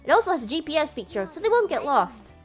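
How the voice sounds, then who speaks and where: A woman's voice: high-pitched, sing-song, fast, soft background music. A woman voicing a visual novel's prim, doting younger sister, proud of her charges, with a polite, giggly, instructive way of talking. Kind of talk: visual novel character read aloud.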